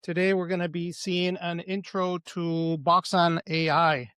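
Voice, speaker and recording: A man's voice: high voice, little pitch movement, a little slow, a bit bright, clear, resonant tone, no room sound, studio quality. A middle-aged man from El Salvador hosting a technical webinar, explaining concepts with enthusiasm and frequent check-ins like "right?" and "okay?". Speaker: webinar host and presenter.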